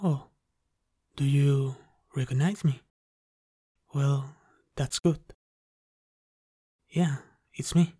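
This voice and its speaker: A man playing an obsessive, polite vampire lab technician in a slow, intimate ASMR roleplay, speaking softly and haltingly. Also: mid-range voice, steady tone, slightly rough, no echo, clean studio sound. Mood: polite, quietly pleased. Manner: hushed, halting, deliberately polite. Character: soft-spoken obsessive male vampire lab technician, courteous, menacing.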